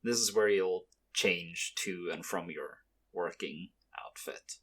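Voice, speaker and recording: A man acting in an ASMR roleplay as a mafia boss's son, a soft-spoken, hesitant and protective young man with gentle, measured delivery. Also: deep voice, slightly rough, heavily acted. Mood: softly concerned.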